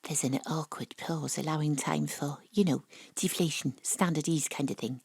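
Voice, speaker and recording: A woman's voice: sits low, fairly even intonation, normal speed, gravelly, a little mellow, breathy edge, no echo, heavily acted. A woman playing a tipsy amateur investigator in an audio drama, narrating in a wry, bawdy, theatrical way. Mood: wry storytelling. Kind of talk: audio drama, first-person narration and dialogue. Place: home studio, dry close sound.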